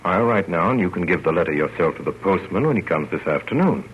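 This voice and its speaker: Deep-voiced wry father, old radio. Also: animated, fast. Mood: earnest, fond. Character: fond, wry, gentlemanly father telling his story. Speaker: narrator. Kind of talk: 1930s radio storytelling. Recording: muffled, noisy old radio broadcast.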